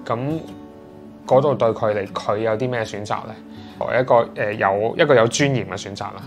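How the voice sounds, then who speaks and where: A man in his thirties with a Hong Kong Cantonese accent, chatting in an interview at home and pausing often with fillers and restarts. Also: fairly low pitch, music in the background. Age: 30s. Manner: hesitant, many fillers and restarts. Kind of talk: casual interview chat. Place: indoors in a sea-view flat.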